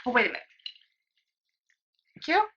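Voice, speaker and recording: An actress playing a tender, gently teasing, wistful woman in a 1930s romantic film comedy. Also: normal speed, lightly acted. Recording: dull 1930s film soundtrack with music.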